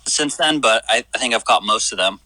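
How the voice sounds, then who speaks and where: A young man from Michigan calling in to a podcast, talking casually and candidly with halting restarts. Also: on the low side, sharp tone, low-quality recording. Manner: candid, self-deprecating, halting. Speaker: caller. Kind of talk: casual phone chat.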